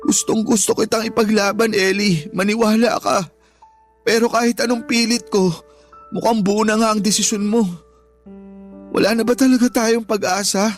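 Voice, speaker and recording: High-voiced, wounded young Filipino boyfriend played by a man. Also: steady tone, normal speed, soft background music, lightly acted. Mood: pleading. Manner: emotional, pained, earnest delivery. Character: wounded, devoted young working-class boyfriend, pleading and proud. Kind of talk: Filipino radio drama acting.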